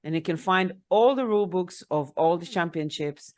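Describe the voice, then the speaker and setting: A woman's voice: fairly low pitch, moderate pace, darkish tone. Chatty woman explaining with fillers. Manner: talkative, explaining, frequent fillers. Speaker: podcast co-host. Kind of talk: casual chat.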